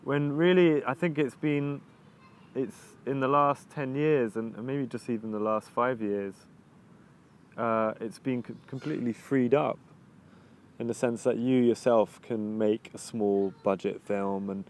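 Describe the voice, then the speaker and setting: A man with an English accent, answering interview questions in a halting, reflective way, often restarting sentences while he searches for words. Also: medium pitch, steady tone, slight background noise. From England. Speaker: videographer interviewee. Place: outdoors overlooking the ocean.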